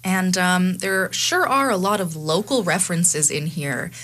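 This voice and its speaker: A woman's voice: fairly low pitch, a bit bright. A young woman co-hosting a radio show in a studio, chatting and announcing in an upbeat way. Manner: chatty, upbeat, quick asides with laughs. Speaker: radio co-host. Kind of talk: casual radio chat and announcing. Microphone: studio microphone.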